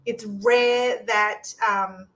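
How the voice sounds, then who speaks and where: A middle-aged woman co-hosts a relaxed podcast with a bright, forceful voice. She explains practical matters plainly and drops in quick agreement, recorded from a little back off the mic. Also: a little high, vocal fry, far from the mic. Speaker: podcast co-host. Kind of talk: casual live podcast chat.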